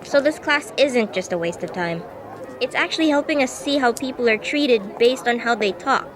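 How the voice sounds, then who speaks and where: A woman acts as a skeptical female classmate in a radio drama. Her high voice is informal and questioning, with blunt casual phrasing. Clear outdoor city noise and vehicles run behind her. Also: lively intonation, normal speed, natural voice. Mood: conceding. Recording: noisy street ambience with vehicles. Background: outdoor urban noise, vehicles.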